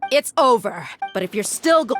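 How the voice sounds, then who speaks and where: A woman's voice: a little high, sing-song, moderate pace, faint music behind. A woman playing a tough, resolute female mercenary in a video game, speaking in a firm, commanding way.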